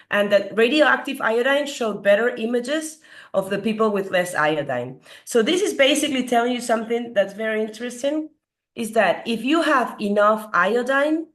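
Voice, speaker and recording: A middle-aged woman from Peru gives an earnest health lecture as a doctor. Her voice is slightly hoarse from a recent cold, and she asks her audience questions with frequent fillers. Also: a little high. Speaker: doctor giving a health talk. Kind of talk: explanatory lecture.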